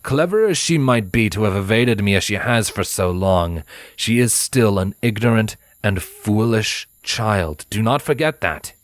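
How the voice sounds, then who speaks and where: Man playing a proud killer pony, deep male voice, cold, deliberate, formal diction, dry close sound, storybook villain. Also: animated, no echo. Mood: stern, contemptuous. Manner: haughty, measured, formal, dismissive. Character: cold proud winged male pony assassin, ruthless hunter.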